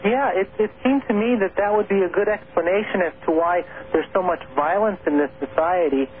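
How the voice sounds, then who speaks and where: A man calling into a radio show, asking questions in an eager, quick way. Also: high-pitched. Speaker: caller. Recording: tinny phone line with faint hiss.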